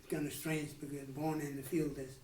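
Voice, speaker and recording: A man's voice: on the high side, flat delivery, darkish tone, roomy sound, far from the mic, slight background noise. A man in his nineties with a Dutch accent, recalling his childhood in a candid interview and often stopping to restart his sentences. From Netherlands. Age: 90s. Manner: halting, many restarts and trailing pauses. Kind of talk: candid life-story interview.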